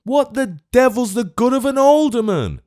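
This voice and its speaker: A man voicing a choleric, frightened old gentleman who pleads in a shrill, frantic way. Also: lively intonation, measured pace. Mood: pleading, indignant. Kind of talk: audiobook character voice.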